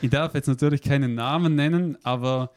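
A man's voice: medium pitch, a little fast, dry recording. A man hosting a radio show in a chatty, playful way. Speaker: radio host. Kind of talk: casual radio chat.

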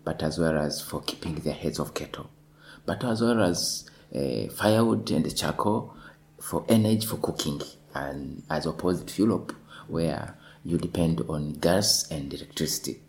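A lawyer in his thirties answering interview questions deliberately, often repeating words as he searches for them. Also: a bass voice, vocal fry, a little mellow. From northwestern Tanzania.

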